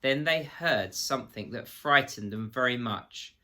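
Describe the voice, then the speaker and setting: Man reading a story, bright voice. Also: medium pitch, unhurried. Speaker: teacher reading to pupils. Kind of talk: children's storybook narration.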